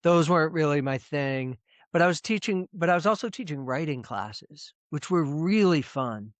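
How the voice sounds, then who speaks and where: A man's voice: fairly high pitch, animated, moderate pace, no echo, dull audio. A middle-aged man speaking casually as an interview guest on a video call, rambling with frequent restarts and repeated words. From United States. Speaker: presentation coach, guest.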